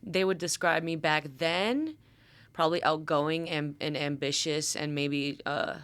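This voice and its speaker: A young American woman talks casually as an interview guest. Her voice is smooth and clean, with very little room around it. She rambles informally and tells stories in an animated way. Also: sits low, a little slow, dry recording. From Detroit, Michigan, USA. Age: 20s. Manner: informal, rambling, animated storytelling with quoted speech. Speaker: podcast guest, songwriter. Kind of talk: casual chat.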